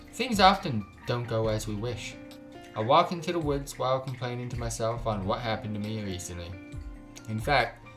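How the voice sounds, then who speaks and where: A man's voice: mid-range voice, fairly bright tone. Slangy male livestreamer over music. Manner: slangy, joking, profane. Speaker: live streamer. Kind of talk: casual livestream chat with stumbling read-aloud of game text.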